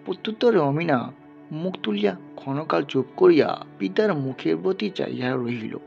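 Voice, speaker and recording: A man's voice: a little high, expressive, normal speed, gravelly, a little mellow, lo-fi sound. A man reading a literary story aloud as dramatic narration. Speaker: story reader. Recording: band-limited, music underneath.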